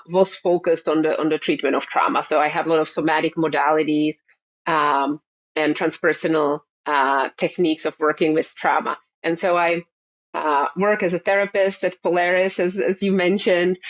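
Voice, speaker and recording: A middle-aged woman from the Republic, a therapist answering interview questions in a thoughtful, halting way with many fillers and repeated words. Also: fairly low pitch. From Czech Republic. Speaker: therapist guest. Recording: dull lo-fi sound.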